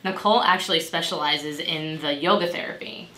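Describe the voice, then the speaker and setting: A woman playing a gentle, supportive patient navigator in a role-play, explaining in a conversational way. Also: low voice, a little fast. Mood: supportive, informative. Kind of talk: amateur role-play dramatization. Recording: echoey room with background noise.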